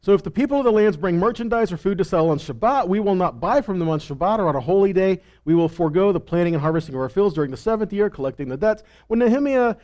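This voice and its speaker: High-voiced man teaching scripture informally. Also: a little mellow. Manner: conversational, asks rhetorical questions, acts out imagined replies. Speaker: Bible teacher. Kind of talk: informal sermon with scripture reading and commentary.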